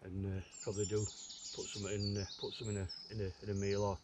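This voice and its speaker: A man's voice: fairly low pitch, completely flat delivery. Englishman chatting casually over outdoor noise. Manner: unhurried, halting, repeats words as he goes. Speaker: outdoors vlogger. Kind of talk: casual rambling chat to camera. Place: outdoors in woodland. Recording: outdoor woodland ambience, clear background noise. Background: natural outdoor ambient noise.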